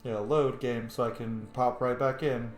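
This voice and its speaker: A man's voice: medium pitch, fairly even intonation, unhurried, faint music behind. Man muttering through gameplay in echoey room. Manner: mutters to himself while playing. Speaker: game streamer, let's player. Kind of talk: casual gameplay commentary.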